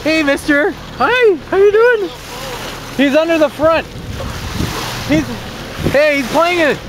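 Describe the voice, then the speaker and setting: A man filming from a small sailboat at sea, narrating with excitement and calling out cheerfully to dolphins. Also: slow pace. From Phoenix, Arizona, US. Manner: excited, coos and calls out to dolphins. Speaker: amateur sailor filming. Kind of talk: excited home-video narration. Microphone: handheld camera mic. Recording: loud wind rumble on camera mic.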